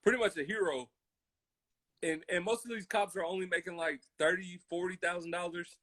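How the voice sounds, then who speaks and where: A man with a high-pitched voice and an American accent talks casually on a podcast. He is emphatic and impassioned, repeating phrases for weight and voicing imagined quotes. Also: fairly even intonation, brisk, pushed and bright. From military family, grew up in Germany, Colorado and Hawaii. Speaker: podcast co-host.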